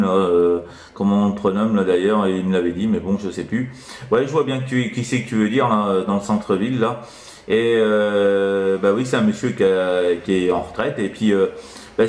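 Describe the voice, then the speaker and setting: A man's voice: on the low side, a little mellow. A man chats casually as a CB radio operator, hesitant and rambling with frequent tag words. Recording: roomy echo around the voice.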